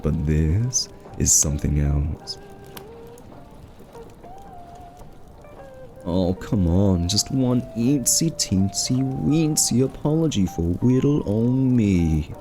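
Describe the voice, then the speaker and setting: A man's voice: low-pitched, dark tone. A male voice actor playing a smug, flirty thief in an ASMR roleplay, teasing and drawling with suggestive innuendo. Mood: mocking, coaxing. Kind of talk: ASMR roleplay, scripted character acting.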